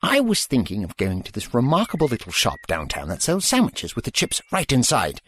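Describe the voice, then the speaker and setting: An actor playing an eccentric, absent-minded old time traveler with fussy, courtly phrasing in an audio drama. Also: male voice, medium pitch, huge pitch swings, brisk, a bit bright, slightly rough, heavily acted. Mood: fussy, breezy.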